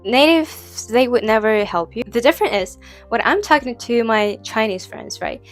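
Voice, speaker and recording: A woman's voice: a little high, lively intonation, normal speed, faint music behind. A young woman who is not a native English speaker, talking casually to camera in a vlog with lots of "like" fillers and restarts. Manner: rambling, heavy use of "like" fillers, frequent restarts. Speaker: vlogger.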